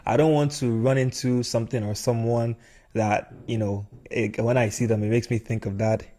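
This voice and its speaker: A man's voice: medium pitch, level tone, moderate pace, warm tone. A man hosting a podcast in casual chat, informally explaining his reasoning at length. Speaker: podcast host.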